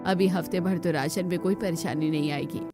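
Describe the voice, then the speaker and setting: A caring, motherly older woman of a poor cartoon household, put on by a female performer. Her voice is set noticeably lower, with music clearly playing underneath. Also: steady tone, a little fast, lightly acted. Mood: soothing. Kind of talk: cartoon story dialogue.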